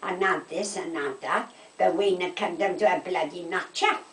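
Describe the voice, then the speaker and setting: A woman, getting on in years, chats in a South Wales Valleys accent. She tells gossipy anecdotes in an animated way, often acting out who said what. The room echoes and she sits some distance from the microphone. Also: on the low side, normal speed. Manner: animated anecdotes, quoting conversations, checking with "right?". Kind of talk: casual chat, gossipy storytelling. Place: indoors at home.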